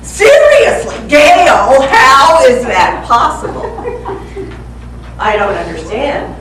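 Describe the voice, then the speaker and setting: A woman's voice: high-pitched, sing-song, slow pace, a bit bright. A woman in her sixties giving a humorous prepared speech at a speaking club, playfully teasing and quizzing her audience. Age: 60s. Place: club meeting room. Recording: echoey room from far off, faint hiss.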